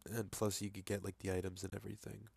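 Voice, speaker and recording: A young man with a low voice and a stuffy, congested nose chats casually at his desk, rambling through fillers and restarts. The sound is dry and close, with no room echo. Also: completely flat delivery, fast, creaky, a little mellow, husky. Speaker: vlogger. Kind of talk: casual vlog commentary over screen capture.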